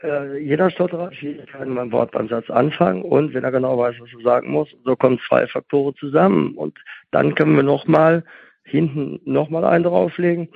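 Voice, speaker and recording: A man with a husky voice, hosting a conversational interview as a therapist and explaining emphatically through questions he answers himself. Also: fairly high pitch, brisk, no echo. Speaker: host and stuttering therapist. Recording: phone-like, band-limited sound.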